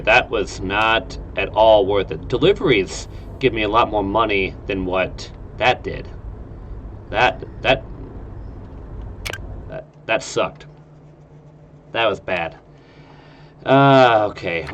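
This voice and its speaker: A man's voice: fairly low pitch, slow, pauses often, a bit bright, lo-fi sound, slight background noise. A man with a nasal, stuffed-up voice from a cold, rambling through casual gameplay commentary. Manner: rambling, lots of uh fillers. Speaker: game streamer.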